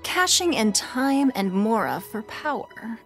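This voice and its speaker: A woman's voice: fairly high pitch, big pitch swings, slow pace, soft background music, exaggerated voice. An actress playing a poised, shrewd and wealthy woman leader in a video game, with a composed, refined and authoritative manner. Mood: cool, measured. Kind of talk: video game character voice lines.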